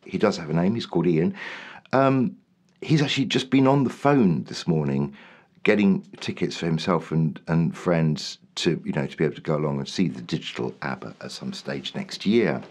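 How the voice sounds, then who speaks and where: A man hosting his podcast in a rambling, chatty way, his voice throaty and slightly raspy today. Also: deep voice, normal speed, darkish tone. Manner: rambling, lots of ums and restarts. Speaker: podcast host. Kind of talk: casual solo podcast monologue.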